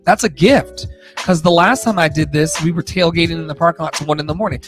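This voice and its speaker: Male singer-host, lighter high-ish register, loose profane livestream chatter, effusive and slangy, some music in the mix. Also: fast. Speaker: singer hosting a live audio room show. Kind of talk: casual, rambling livestream chat.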